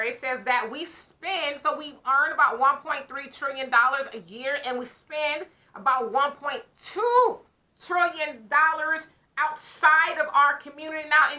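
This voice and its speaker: A woman co-hosting a casual talk show, animatedly telling a story and acting out both sides of a conversation. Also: high voice. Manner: animated storyteller who acts out her own side of conversations. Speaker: podcast co-host. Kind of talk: casual chat.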